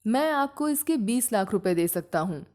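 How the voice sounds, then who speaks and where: A woman's voice: medium pitch, animated, normal speed, a little mellow, natural voice. A woman voicing an eager male goldsmith in a storybook tale, persuasive as he bargains.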